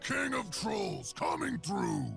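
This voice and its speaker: A brash, warlike troll king, extremely creaky and leaping wildly in pitch. He boasts slowly with gleeful swagger in a video game, music playing underneath. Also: sing-song, full character voice. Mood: boastful. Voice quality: gravelly, creaky.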